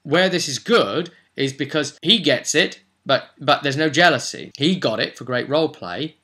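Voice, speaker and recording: Bright, emphatic man talking tabletop gaming. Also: fairly high pitch, normal speed. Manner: chatty, emphatic, rhetorical questions. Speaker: host, game master. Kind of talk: informal tabletop gaming advice monologue.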